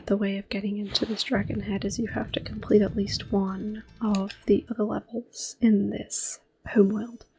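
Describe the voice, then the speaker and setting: A woman giving soft-spoken ASMR gameplay commentary as host, often slipping into a breathy whisper, in a gentle, unhurried way. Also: medium pitch, rough recording. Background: soft video game music.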